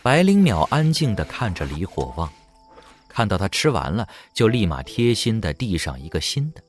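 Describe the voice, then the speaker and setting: Male audio drama narrator, lowish register, animated intonation, light music underneath. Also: moderate pace. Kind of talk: scripted dramatic story narration.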